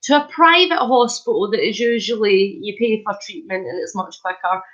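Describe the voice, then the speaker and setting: A woman's voice: medium pitch, moderate pace. A Scottish woman chatting casually with viewers on a live stream, greeting them by name, her voice a little thick from a head cold and sore throat. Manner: chatty, warm greetings, chuckles. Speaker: vlogger hosting a live stream. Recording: lo-fi dull sound.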